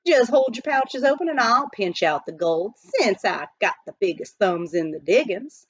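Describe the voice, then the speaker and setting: A woman voicing an excitable, blustery old-time prospector man with a folksy frontier drawl in a children's storybook. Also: fairly high pitch, normal speed, husky, dry recording, muffled recording, pitched above the performer's own voice. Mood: gleeful.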